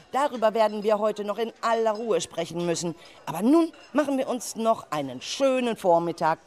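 Amateur actor as a children's group leader, caring yet firm, mid-pitched, scripted radio-play delivery with clear instructions. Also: natural voice.

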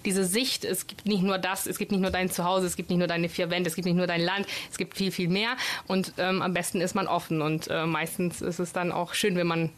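A woman in her thirties from Italy, a native Italian speaker talking German in a relaxed interview, with many hesitant fillers and switches into Italian. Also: lowish voice, fairly quick. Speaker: guest, nursing director. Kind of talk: casual interview chat.

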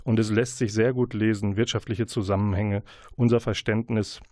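A man with a fairly low voice presents books in a very dry studio sound. He speaks conversationally, in measured phrases with some hesitation, like a radio host talking through recommendations. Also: steady tone, a little mellow, no echo. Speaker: radio book show presenter. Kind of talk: conversational book review presentation.

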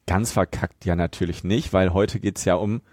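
A man's voice: deep voice, fairly even intonation, moderate pace, a little mellow, no echo, studio quality. A man co-hosting a podcast in a casual conversational style. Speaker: podcast co-host.

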